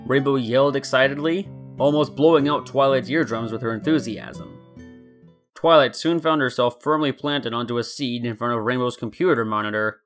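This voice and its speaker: A man narrates a story in a scripted reading style. His pitch sits in the middle range, and light guitar music plays behind him. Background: soft guitar music.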